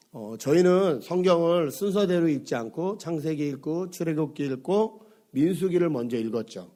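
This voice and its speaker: Hoarse middle-aged pastor preaching. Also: male voice, mid-range voice, mellow. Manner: conversational, many fillers, asks the congregation questions. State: hoarse from a cold. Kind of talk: sermon. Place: church sanctuary.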